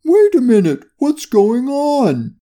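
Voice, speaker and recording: A narrator as an excitable, howling high-voiced dog. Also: takes it slowly, heavily acted. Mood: alarmed. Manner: howling, exclaiming. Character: excitable earnest male dog, loud howling hero.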